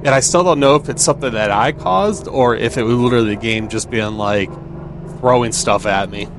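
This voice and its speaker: A man with a mid-pitched voice talks casually through a video game. He thinks out loud and puts questions to himself as he goes. Clear background noise, like vehicle ambience from the game, sits behind him. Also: brisk. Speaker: gamer playing and commenting on a video game. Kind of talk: casual gameplay commentary.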